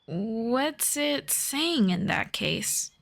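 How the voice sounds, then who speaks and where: A woman voicing a gentle, teasing young elf friend in an unhurried fantasy roleplay audio drama in ASMR style. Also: fairly high pitch, sing-song, gravelly, heavily acted. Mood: amused, teasing.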